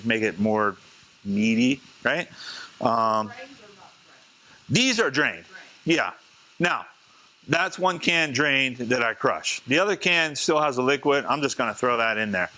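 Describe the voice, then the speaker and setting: A man, a chef, teaching a live cooking demonstration in a casual, chatty way, slightly hoarse as he is losing his voice. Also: medium pitch, bright, forceful tone. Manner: casual, chatty, talks to the class.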